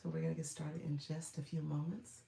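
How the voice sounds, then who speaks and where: A woman with a cold speaks in a low, gravelly, froggy voice, congested and clearing her throat now and then. She chats casually with live viewers as a streaming coach, repeating her welcomes and filling pauses. Her voice carries a roomy echo. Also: flat delivery, mellow. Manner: chatty, repetitive welcomes with frequent fillers and throat-clearing.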